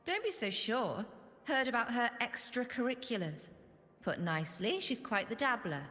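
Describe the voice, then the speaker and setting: A woman's voice: a little high, lively intonation, normal speed, fairly bright tone. A woman playing a skeptical, snide academy onlooker in a video game, arch and disdainful in manner. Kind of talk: video game side-character acting. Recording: long hall reverb, music behind.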